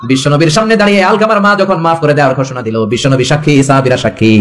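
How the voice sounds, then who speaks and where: A man's voice: fairly high pitch, rapid-fire. A Bangladeshi man preaching an Islamic storytelling sermon, emphatic, repeating phrases and putting questions to his listeners. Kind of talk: animated storytelling sermon to a live gathering.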